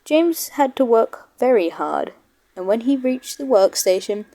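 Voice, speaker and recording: A woman's voice: on the high side, very animated, a little slow, dark tone. A woman narrating a children's storybook from a script.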